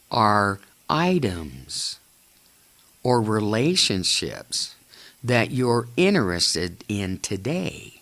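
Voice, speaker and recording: A man with a fairly low voice leads a guided meditation at an extremely slow pace. He speaks in short, halting phrases separated by long pauses, and his pitch moves widely as he goes. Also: vocal fry, a bit bright. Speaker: guided imagery narrator.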